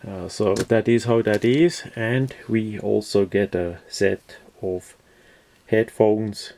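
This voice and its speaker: A man who is not a native English speaker gives a casual, unscripted product overview as a reviewer, in a halting, matter-of-fact way with many pauses. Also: lowish voice, warm tone.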